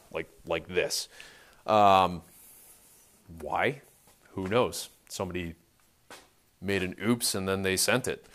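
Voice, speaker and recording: Offhand man explaining things in a garage. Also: fairly low pitch. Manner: offhand, dryly joking technical explaining. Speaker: host of a car restoration vlog. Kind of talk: casual unscripted workshop talk. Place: large cluttered indoor garage workshop.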